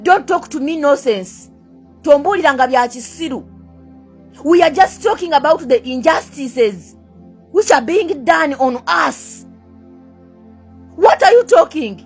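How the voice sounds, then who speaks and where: A high-voiced young Ugandan woman with a Ugandan accent rants straight to camera. She hammers the same questions again and again, mixes two languages and curses at her critics. Faint music plays underneath. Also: animated, measured pace, pauses often. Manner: heated, repeats rhetorical questions, switches between two languages; swears and sneers at critics. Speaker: vlogger. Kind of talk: angry rant to camera.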